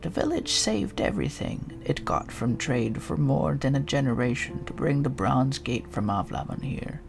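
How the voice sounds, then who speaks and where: A man's voice: mid-range voice, animated, normal speed, creaky, music in the background, voice pushed up. A man voicing an elderly, matter-of-fact village woman with folksy, rustic phrasing in a fantasy game reading. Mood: grave, measured. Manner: folksy phrasing with tag endings.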